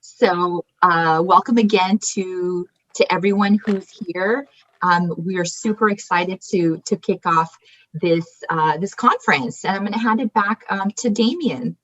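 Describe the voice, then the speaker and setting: A woman's voice: mid-range voice, unhurried, fairly bright tone. A woman co-hosting a conference on a video call, greeting attendees in a chatty, upbeat way. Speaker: conference co-host. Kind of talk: casual roll call greeting. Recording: dull, lo-fi video call audio.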